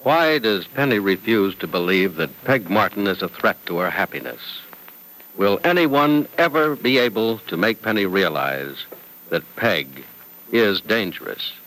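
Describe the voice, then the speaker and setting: Bright, emphatic male radio announcer. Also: lowish voice, expressive, measured pace, rough recording. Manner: emphatic, polished. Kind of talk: 1940s radio announcing and ad read.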